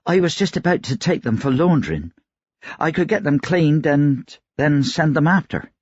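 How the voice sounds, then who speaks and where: Man doing a high-pitched, frightened laundress. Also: animated, normal speed, husky, dry recording, highs cut off. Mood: polite, anxious. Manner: anxious, pleading. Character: frightened, meek young laundress woman. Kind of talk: audiobook character dialogue.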